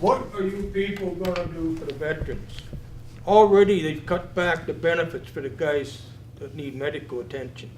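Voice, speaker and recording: A man's voice: a little high, moderate pace, darkish tone, roomy sound, slight background noise, rough recording. An older man and veteran, asking a blunt, indignant question from the floor of a public forum. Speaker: audience member and veteran. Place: community hall.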